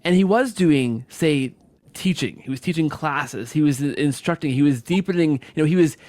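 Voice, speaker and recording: A man's voice: fairly high pitch, moderate pace, darkish tone, no echo. A man hosting a conversational podcast interview, circling and rephrasing his phrases as he builds a point. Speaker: podcast host.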